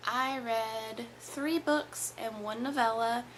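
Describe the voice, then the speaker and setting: A young woman in her twenties, hoarse from being sick, rambling casually through a book review at home in front of a camera. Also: fairly high pitch, steady tone, takes it slowly, a little hiss or hum, poor audio. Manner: chatty, rambling, with self-corrections. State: hoarse from illness. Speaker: book vlogger. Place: small indoor room in front of bookshelves.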